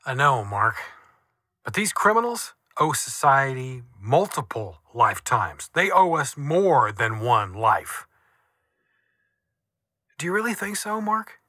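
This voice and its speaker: A stern male official lectures his caller, his voice bright and pointed. The recording is close and dry, with no room sound. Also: medium pitch, big pitch swings, slow, dry recording, heavily acted. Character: self-righteous male official defending a harsh group. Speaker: official on a phone call.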